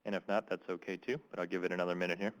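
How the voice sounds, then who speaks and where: A man moderating a webinar, summing up and inviting questions in a relaxed way. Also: deep voice, rapid-fire, rough recording. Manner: relaxed and friendly, with fillers. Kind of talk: casual moderating.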